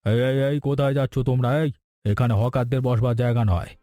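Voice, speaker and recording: A man playing a gruff but soft-hearted fairground gatekeeper in a cartoon fairy tale, brusque and dismissive. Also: mid-range voice, steady tone, quick pace, no room sound, clean studio sound, faint music behind, close to an everyday voice. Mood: stern.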